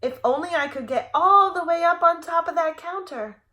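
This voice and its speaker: A woman's voice: extremely high pitch, normal speed, pushed and bright, pitched above the performer's own voice. A woman voicing a headstrong, greedy little storybook girl who exclaims with naive self-assurance. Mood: longing.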